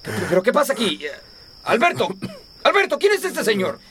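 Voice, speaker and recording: Animated, indignant hostel owner. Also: male voice, very high voice, a little fast, a crowd in the background, exaggerated voice. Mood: alarmed, angry. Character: indignant hostel owner, jovial to police, scolding in private. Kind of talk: radio soap opera acting. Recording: music bed behind radio drama voices.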